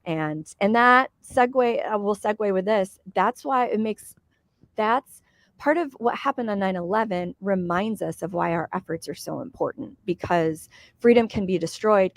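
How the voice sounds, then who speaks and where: A woman in her forties, hoarse from a cold, co-hosting a casual live political chat from outdoors and talking chattily with many fillers. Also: medium pitch, dry recording. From Michigan. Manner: chatty, laughs between phrases, many fillers.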